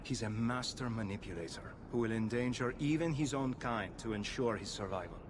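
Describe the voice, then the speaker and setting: A man's voice: on the low side, fairly quick, close to an everyday voice. A man playing a stern, earnest hunter of assassins in a video game, speaking in a grave and formal way. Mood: grave, insistent. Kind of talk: video game character acting. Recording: clear background ambient noise.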